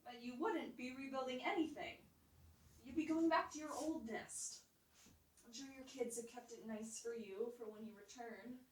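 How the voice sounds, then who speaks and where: A woman's voice: fairly high pitch, moderate pace, close to an everyday voice. A woman voicing an earnest, naive young child in a puppet show, hopeful, insistent and pleading. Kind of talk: live puppet show acting. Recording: echoey room, distant mic, noisy.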